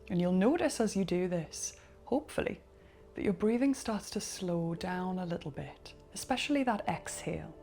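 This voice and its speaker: A woman leading a guided relaxation, speaking slowly and softly, sometimes dropping into a whisper. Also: lowish voice, gravelly. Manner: slow, soft-spoken, partly whispered. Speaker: meditation guide.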